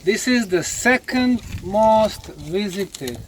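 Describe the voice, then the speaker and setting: A man lecturing on location as a documentary presenter, speaking emphatically and deliberately. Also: high-pitched, expressive, a little hiss or hum, rough recording. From Bosnia. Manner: emphatic, deliberate, asks rhetorical questions.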